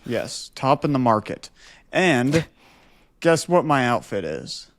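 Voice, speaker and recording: A man co-hosting a podcast in casual comic banter, telling stories in a mock-serious, boastful deadpan. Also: mid-range voice, takes it slowly, a little hiss or hum. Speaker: podcast co-host.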